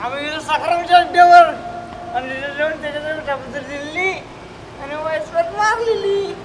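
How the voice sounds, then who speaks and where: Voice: moderate pace, lightly acted. An amateur stage performer playing a muddled, argumentative sidekick who dodges blame with blurted protests in a comic play. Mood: muddled, protesting. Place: school stage event, live telecast. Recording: noisy live stage, traffic-like rumble.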